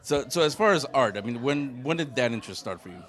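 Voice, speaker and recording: Friendly male interviewer, mid-pitched. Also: lively intonation, quick pace, a bit bright. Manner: friendly, stammers on restarts. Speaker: interviewer, host. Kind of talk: casual interview. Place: art gallery at an art walk.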